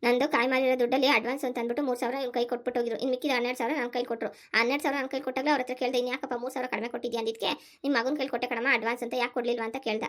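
A woman's voice: a little high, flat delivery, racing, natural voice. A woman playing an exasperated village mother in a cartoon family story, nagging and sarcastic as she complains. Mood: aggrieved complaining. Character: scolding, exasperated village mother with a grown son.

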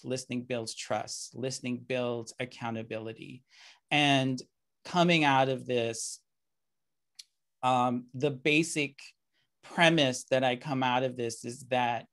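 A middle-aged man giving a keynote lecture over a video call, speaking in a measured, reflective way with frequent "um" and "you know" fillers. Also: mid-range voice, fairly bright tone. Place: indoor room, video call.

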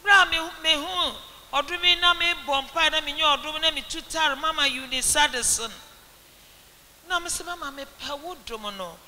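A woman's voice: sits high, normal speed, pushed and bright. A woman, giving an earnest, preacherly testimony in a church interview, speaking into a handheld mic on stage. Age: 80s. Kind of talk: testimony and exhortation. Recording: echoey hall with faint hiss.

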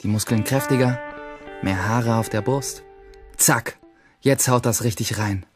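A man acting out a teenage boy, a loner nerd in a radio drama who reinvents himself. He speaks at a middling male pitch, dramatic and full of himself, with a streak of self-mockery. Faint music plays behind him. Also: unhurried, lightly acted. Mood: scheming, boastful. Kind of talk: first-person radio play monologue.

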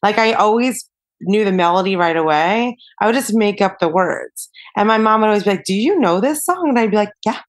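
A woman's voice: medium pitch, lively intonation, a little fast. A young adult woman, a singer-songwriter guest on a podcast, chatting casually and filling her talk with "like" and "you know". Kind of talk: casual interview chat.

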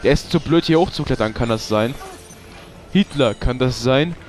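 A man's voice: medium pitch, moderate pace, darkish tone, soft background music. A young man, giving casual gameplay commentary, swearing and ranting when he loses. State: has a cold. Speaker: let's play host.

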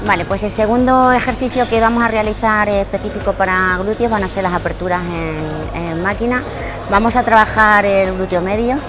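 A woman in her thirties explaining gym exercises on a lapel mic, in a relaxed teaching way with frequent fillers and repeated words. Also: mid-range voice, brisk. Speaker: fitness trainer. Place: large indoor gym. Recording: music playing behind the voice. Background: background music.

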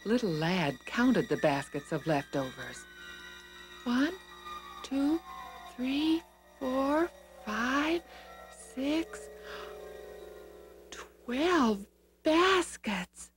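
Slow, very expressive woman storyteller. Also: a little high, faint music behind. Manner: expressive, deliberate, repeats for small children. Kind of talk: scripted children's Bible story narration.

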